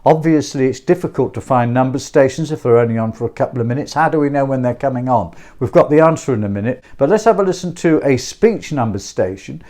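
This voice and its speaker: Guy hosting a homemade hobby explainer, chatty, mid-pitched voice, short phrases that he repeats. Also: lively intonation, fast, hardly any pauses, dark tone. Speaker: YouTube host and presenter. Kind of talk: informal explainer to camera about a radio hobby.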